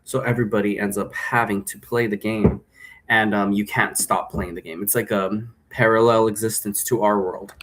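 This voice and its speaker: A man's voice: on the low side, little pitch movement, normal speed, a bit bright. Casual, meandering male vlog host. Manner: chatty, meandering, informal. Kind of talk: casual rambling solo vlog. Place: indoor room at home.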